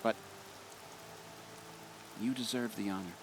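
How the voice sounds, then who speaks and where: A kindly male tribesman in a video game, admiring and sincere. His voice is on the low side and gently earnest, with rain clearly heard behind it. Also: slow, background noise. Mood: relieved, admiring. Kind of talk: video game dramatic dialogue.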